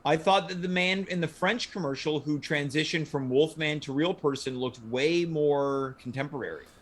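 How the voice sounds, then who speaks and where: A man's voice: a little high, moderate pace. A man hosting a casual panel chat. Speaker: show host.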